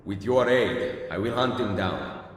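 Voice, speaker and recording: An actor playing a grave, resolute Italian master assassin in a video game, measured and commanding, with an Italian accent. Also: male voice, lowish voice, lively intonation, breathy edge. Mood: grim, resolute. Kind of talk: video game cutscene acting.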